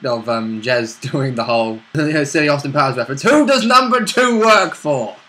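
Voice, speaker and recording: A man chatting casually as a player co-hosting a tabletop game recap, jokey and quick to chip in. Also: mid-range voice, expressive, sharp tone, a little hiss or hum. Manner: jokey, interjecting. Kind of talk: casual banter.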